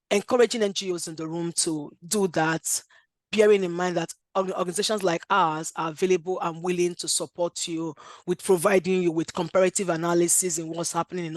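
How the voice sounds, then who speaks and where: Nigerian-accented lawyer, earnest conference speech, mid pitch, dry close sound. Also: dry recording. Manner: earnest, many "um" fillers and restarts. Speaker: lawyer and workshop speaker.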